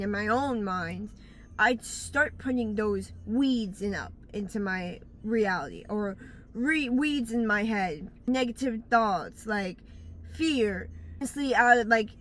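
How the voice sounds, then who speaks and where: A woman's voice: fairly high pitch, fairly bright tone, a little hiss or hum. A woman giving blunt life advice in a casual, rambling monologue, recorded outdoors on a phone, often stopping to search for words. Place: outdoors in bright daylight.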